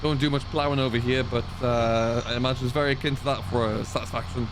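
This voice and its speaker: A man's voice: mid-range voice, steady tone, fast talker, noisy background. A man giving casual, easygoing game commentary as a farmer, musing aloud as he goes. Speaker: host of a farming simulator roleplay series. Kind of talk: casual let's-play commentary, roleplaying a farmer. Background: tractor and machinery sounds from the game.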